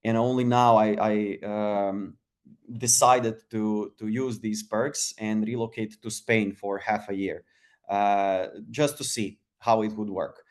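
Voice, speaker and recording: Male webinar speaker, low and monotone, hesitant with many restarts. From Croatia. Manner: halting, many fillers and restarts. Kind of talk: casual webinar talk.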